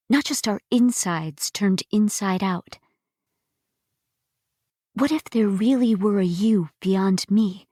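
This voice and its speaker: Woman, poetry recitation, unhurried pace, dry close sound, expressive phrasing. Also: medium pitch, no echo. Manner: deliberate, expressive, pausing between phrases. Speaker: reader of poetry.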